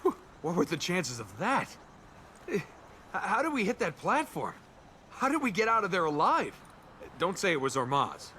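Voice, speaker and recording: An actor playing a cocky, wisecracking young adventurer in a video game. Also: male voice, high voice, huge pitch swings, normal speed, long pauses, sharp tone, slight background noise, heavily acted. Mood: cocky banter. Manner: wisecracking, flippant. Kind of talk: video game voice acting, bantering dialogue.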